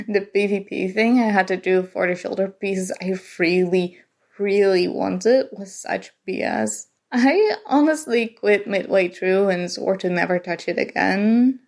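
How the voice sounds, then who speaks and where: A young woman playing an awkward, nerdy college student in an ASMR roleplay, flustered and halting, prone to self-deprecating rambling. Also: medium pitch, vocal fry, a little mellow. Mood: eager, geeky rambling. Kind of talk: ASMR roleplay, acted casual conversation.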